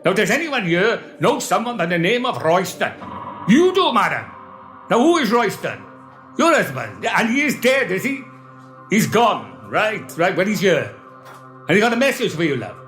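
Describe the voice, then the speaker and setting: A man's voice: high voice, very animated, normal speed, a bit bright, soft background music. A man playing a blustering Welsh stage medium who bluffs through a seance, chatting to the audience in a showman's patter. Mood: bluffing. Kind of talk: comedy sketch monologue.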